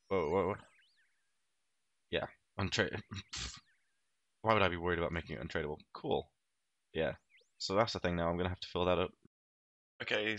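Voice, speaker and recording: A man with a low voice and a slight illness talks through his game session in a loose, rambling way, restarting his sentences and swearing casually. The recording is dry and close, with no room sound. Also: sharp tone, no echo. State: a little ill. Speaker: game video commentator. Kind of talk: casual gameplay commentary.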